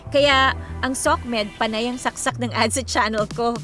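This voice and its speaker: Actress as a high-voiced, confident young Filipina influencer. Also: female voice, steady tone, measured pace, fairly bright tone. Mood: bubbly, proud. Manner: breezy, switching between Tagalog and English. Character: Eiffel, confident, playful, big-hearted young woman influencer. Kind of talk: radio drama acting. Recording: music bed under the voice. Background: music.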